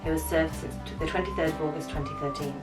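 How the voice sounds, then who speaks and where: A woman from England and Ireland, an English teacher, giving a thoughtful, measured reaction with many fillers. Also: low voice, fairly even intonation, far from the mic. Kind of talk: casual reaction chat. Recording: echoey room, off-mic, music behind.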